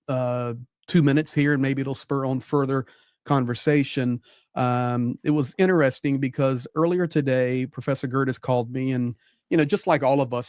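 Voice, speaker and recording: A man's voice: medium pitch, no room sound, clean studio sound. A man giving conversational live political commentary as a panel guest, repeating phrases for emphasis.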